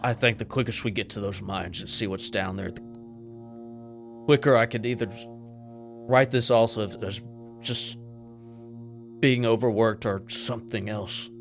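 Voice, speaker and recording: A man's voice: on the low side, fairly even intonation, measured pace, creaky, pauses often, soft background music. A man playing a tabletop roleplaying game, talking in a blunt, informal way. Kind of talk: casual tabletop roleplay chat.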